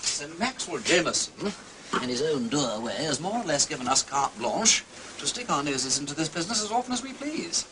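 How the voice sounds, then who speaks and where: An actor playing a breezy, eager aristocratic amateur sleuth in a period detective drama, airy and theatrical in delivery. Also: male voice, a little high, very animated, moderate pace. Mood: breezy, intrigued. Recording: clear background ambient noise.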